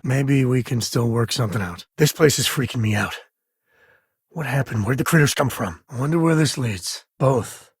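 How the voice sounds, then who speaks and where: An actor voicing a gruff, wry spacefaring cowboy father in a video game, with a husky, raspy voice and folksy, informal delivery. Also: mid-range voice, no room sound, studio quality. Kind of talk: video game character voice lines.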